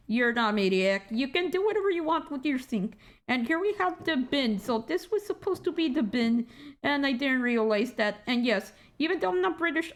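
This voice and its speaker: A woman with a squeaky voice giving a casual, rambling game walkthrough at her computer, tired and correcting herself as she goes. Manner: rambling, self-correcting, joking asides. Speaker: gaming channel host. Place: indoor room at a computer.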